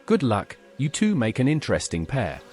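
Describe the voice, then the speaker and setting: A synthetic voice playing a calm, polite male hero in a video game, giving plain dialogue replies. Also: mid-range voice, normal speed, soft background music. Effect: text-to-speech voice.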